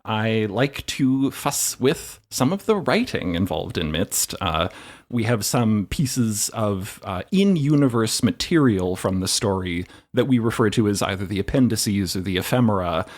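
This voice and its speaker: A man's voice: fairly low pitch, lively intonation, unhurried. A man chatting as a podcast guest, with a wordy, playfully florid way of phrasing things. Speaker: podcast writer and performer, guest. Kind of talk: casual chat.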